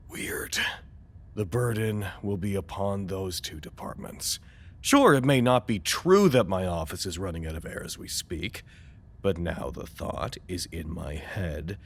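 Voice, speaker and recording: Low male voice, fretful weary director, formal dictation, dry exasperation, audio drama. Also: heavily acted. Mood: anxious, weary. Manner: wordy, formal, sighing, dryly exasperated. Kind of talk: audio drama acting, dictated log monologue.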